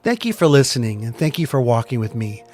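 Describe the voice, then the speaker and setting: Male podcaster speaking in deliberate phrases. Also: medium pitch, big pitch swings, darkish tone, no echo, soft background music. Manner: deliberate, short repeated phrases. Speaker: podcast host and pastor. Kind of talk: personal reflection, spoken to listeners.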